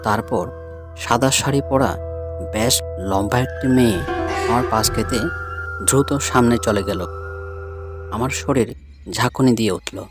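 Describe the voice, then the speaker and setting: Casting: male storyteller, middle-pitched voice, scripted spooky-tale narration, clear music bed underneath. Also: unhurried, long pauses. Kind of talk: scripted first-person ghost story narration.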